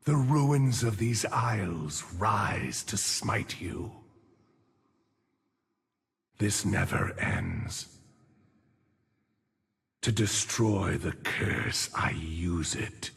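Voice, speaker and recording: Slow, gravelly undead-raising gravedigger monk. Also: male voice, very animated, a bit bright, heavily acted. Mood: ominous. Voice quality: gravelly, husky, breathy low voice. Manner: slow, grave, brooding delivery. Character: weary, solemn male monk turned necromancer gravedigger, commanding the dead. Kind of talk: video game champion voice lines. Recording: long cavernous reverb on the voice.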